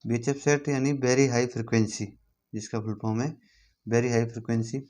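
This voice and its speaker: A man's voice: mid-range voice, level tone, a little fast, darkish tone. A man with an Indian accent giving an informal hands-on tutorial, repeating himself and checking in with the listener as he instructs. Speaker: instructor demonstrating equipment.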